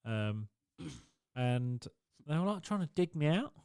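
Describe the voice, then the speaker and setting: A man's voice: fairly high pitch, big pitch swings, slow, gravelly, a little mellow, clear, resonant tone, no room sound, studio quality. Sweary man telling a story. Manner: sweary, storytelling, laughs a lot. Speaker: podcast co-host. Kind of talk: casual chat. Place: home garage. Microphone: studio podcast mic.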